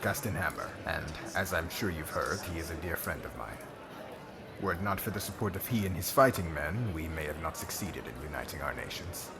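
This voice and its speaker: A man playing a solemn, diplomatic king in an ASMR roleplay, speaking in a formal, measured, oratorical way. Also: very deep voice, animated, music in the background. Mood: gentle, apologetic. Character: solemn diplomatic young king addressing subjects and friend.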